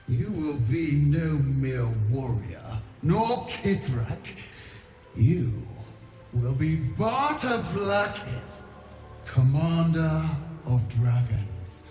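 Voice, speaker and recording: A woman's voice: low voice, darkish tone, full character voice. A woman playing a wrathful, imperious undead alien queen in a fantasy game, with grandiose, commanding delivery. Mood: coaxing, imperious. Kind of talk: cinematic fantasy game acting. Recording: echoing voice over music.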